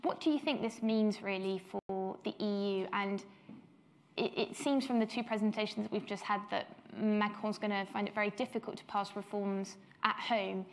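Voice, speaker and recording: A woman's voice: mid-range voice, fairly even intonation, moderate pace. Hesitant woman chairing a panel. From Belgium. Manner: hesitant, many fillers. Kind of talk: moderating a policy panel.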